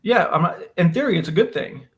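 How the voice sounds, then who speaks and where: A man's voice: high-pitched, expressive, brisk, a bit bright. An American man co-hosting a casual book discussion in an opinionated, emphatic way. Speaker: podcast co-host.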